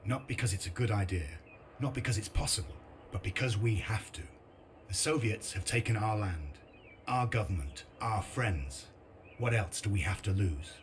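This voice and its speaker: An actor playing a grim, sardonic resistance commander in a video game, with a blunt, dry, determined delivery. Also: male voice, lowish voice, a little hiss or hum, natural voice. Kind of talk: video game cutscene narration and briefing.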